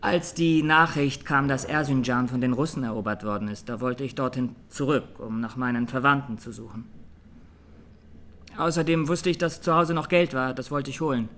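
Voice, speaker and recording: An actor playing a young Armenian massacre survivor giving halting, sombre testimony in a radio drama. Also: male voice, mid-range voice, a bit bright, slight background noise, close to an everyday voice. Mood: sober recounting.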